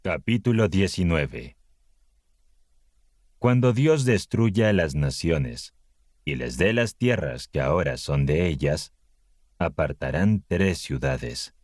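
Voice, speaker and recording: A man narrating scripture as a scripted audiobook, in a measured, solemn way with deliberate breaks between phrases. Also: deep voice, animated, a little mellow, no room sound, clean studio sound.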